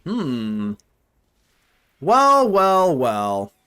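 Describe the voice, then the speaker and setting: A man's voice: on the high side, extremely slow, clean tone. A young man giving casual live commentary on a card game, joking and talking to himself and his chat. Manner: animated, talks to himself and chat, jokey. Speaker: gaming streamer.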